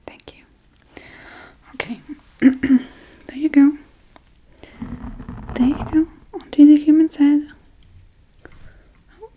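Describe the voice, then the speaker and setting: A woman voicing a playful, forgetful, menacing witch in a hushed, coaxing, whispery ASMR role-play. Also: high voice, voice pushed up, poor audio. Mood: coaxing, gentle. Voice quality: breathy, whispery.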